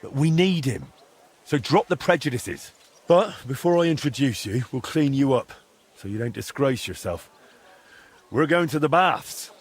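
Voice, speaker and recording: An actor playing a determined young blacksmith hero in a video game, coaxing and firm, with a husky voice. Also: male voice, mid-range voice, lively intonation, normal speed. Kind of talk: video game drama acting.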